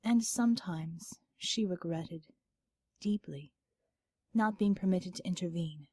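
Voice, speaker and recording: A woman narrating a dramatic story in a solemn, measured, scripted style. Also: lowish voice, darkish tone, breathy edge, dry recording, clean studio sound. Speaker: audiobook narrator. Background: music.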